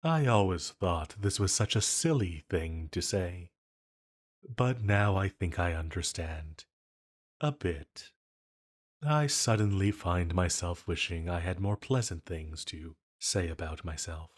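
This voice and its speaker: A man voices an ancient, banished male entity in an intimate audio drama. His voice sits low and he takes his time over every phrase. He is flirty and a little self-conscious, a lonely villain who hesitates as he muses. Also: expressive, heavily acted. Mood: self-conscious, regretful. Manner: flirtatious, hesitant, unhurried musing. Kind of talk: intimate scripted roleplay audio.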